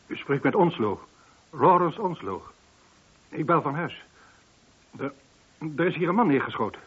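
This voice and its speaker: Curt, husky older gentleman, animated pitch. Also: unhurried, full character voice. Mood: terse, shaken. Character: wealthy older householder reporting a shooting, curt and composed. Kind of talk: radio play acting. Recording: dull, lo-fi old radio recording.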